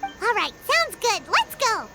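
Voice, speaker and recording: Squeaky, chirpy little fairy. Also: female voice, sing-song, slow, bright, forceful tone, faint music behind, heavily acted. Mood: eager. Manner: chirpy, eager, friendly. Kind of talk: anime-style video game dialogue.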